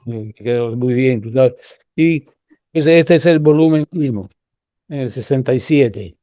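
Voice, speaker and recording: An older man from Cuba with a Cuban Spanish accent, telling stories in a relaxed, rambling way with many restarts. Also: medium pitch, measured pace, no room sound, studio quality. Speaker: guest researcher. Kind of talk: casual conversational storytelling.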